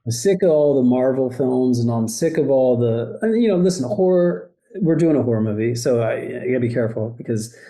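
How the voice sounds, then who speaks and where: A man in his fifties talks in a mellow, warm voice. He speaks with animated energy and keeps checking in with "right?" as he chats casually with the hosts. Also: mid-range voice, brisk, few pauses, low-quality recording. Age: 50s. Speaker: guest filmmaker. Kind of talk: casual chat.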